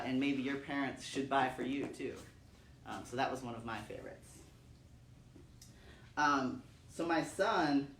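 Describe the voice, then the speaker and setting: Man hosting storytime in an echoey room. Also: mid-range voice. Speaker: storytime host. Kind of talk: poetry reading and talk for children. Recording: roomy, distant mic, faint noise.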